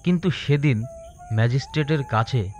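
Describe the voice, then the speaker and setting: A man's voice: medium pitch, animated, normal speed, a little mellow. A man narrating a scripted dramatic story as a storyteller. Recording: faint music behind.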